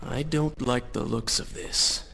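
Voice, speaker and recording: A man's voice: mid-range voice, steady tone, a little slow, loud music behind the voice. A man playing a young, brooding and determined prince in a video game cutscene. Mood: brooding, wary. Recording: clear background noise under dialogue.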